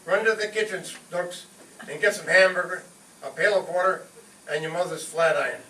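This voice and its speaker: Roomy distant recording, man acting a hot-headed father, high-pitched, pompous, indignant, old-time radio comedy. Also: normal speed, pushed and bright. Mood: vengeful, bossy. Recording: roomy distant stage recording, faint noise.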